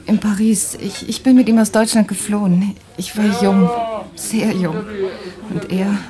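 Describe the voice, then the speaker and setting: An actress playing a German refugee woman who searches for her husband, speaking in a weary, halting way that sometimes sinks to a whisper in a radio drama. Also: mid-range voice, fairly even intonation, mellow, lightly acted.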